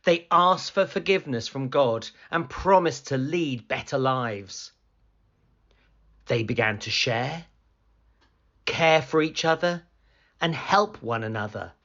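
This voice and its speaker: Storybook reader, male, slow, animated read-aloud delivery, muffled lo-fi recording. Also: medium pitch. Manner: slow, expressive storytelling, rising for excitement. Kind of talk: children's picture book read-aloud.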